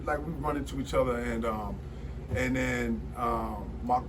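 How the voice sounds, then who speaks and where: A man's voice: mid-range voice, a little slow, distant mic, background noise. Rambling forty-something man, off-mic. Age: 40s. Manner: rambling storyteller, many fillers and restarts. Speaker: guest. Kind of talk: casual studio conversation.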